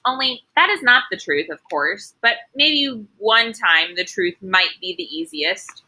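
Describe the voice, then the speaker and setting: A woman's voice: mid-range voice, lively intonation, measured pace, pushed and bright, heavily acted, rough recording. A woman voicing an earnest, anxious first-grade girl telling her own storybook tale in an emphatic, childlike way. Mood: earnest, worried. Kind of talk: children's storybook read-aloud.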